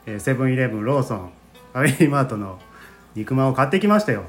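A man's voice: mid-range voice, big pitch swings, fast, faint music behind. A man with a Kansai accent hosting a casual chat, mixing polite speech with dialect and frequent interjections. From Japan, Hyogo. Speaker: podcast host, amateur theater group member.

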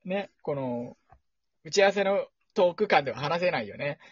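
A man's voice: on the high side, lively intonation, moderate pace, husky, no echo, highs cut off. A man co-hosting a podcast in casual chat, talking with the gushing enthusiasm of a fan. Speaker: podcast co-host.

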